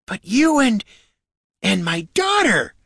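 A man voicing a gnarled, aggrieved old farmer with a high, strained rustic voice in an audiobook. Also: big pitch swings, slow, gravelly, fairly bright tone, husky, dry recording, clean studio sound, full character voice. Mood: outraged. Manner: pleading, indignant outbursts. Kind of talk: audiobook character voice.

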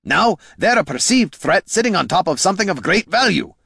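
A man voicing a gruff, blunt male warrior hero who talks rough and coarse. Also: high-pitched, big pitch swings, fairly quick, sharp tone, no echo, studio quality, voice pushed up, full character voice. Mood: angry.

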